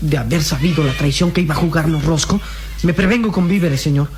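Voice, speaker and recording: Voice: mid-range voice, fast talker, a little mellow, natural voice. A performer playing an earnest, curious young boy who accompanies a hero in a radio serial, respectful and full of wondering questions. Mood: wry worry. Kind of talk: Latin American radio serial acting.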